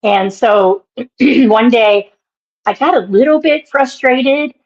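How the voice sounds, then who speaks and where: A woman in her sixties with a naturally raspy voice and an allergy-irritated throat she keeps clearing, telling a story in an interview in a halting, meandering way. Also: a little high, darkish tone, lo-fi sound. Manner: meandering, halting, with many fillers and restarts. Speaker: guest, minister and author.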